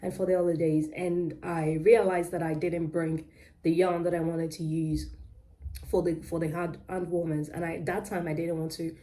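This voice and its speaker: A woman's voice: fairly low pitch, little pitch movement, moderate pace, warm tone. A young woman from Nigeria with a British-tinged Nigerian accent, rambling casually with lots of ums and self-corrections. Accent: Nigerian with British-influenced English. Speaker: crochet vlogger. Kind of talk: casual chatty vlog.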